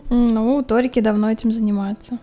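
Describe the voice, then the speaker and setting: A woman player joining an online voice chat late at night, making quick, wry remarks in a casual talk after a game. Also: on the high side, fairly even intonation, dark tone.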